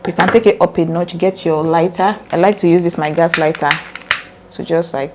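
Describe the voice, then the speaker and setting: A woman with a raspy voice hosting a casual step-by-step sewing tutorial, chatty and earnest as she talks straight to her viewers. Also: fairly low pitch, noisy background.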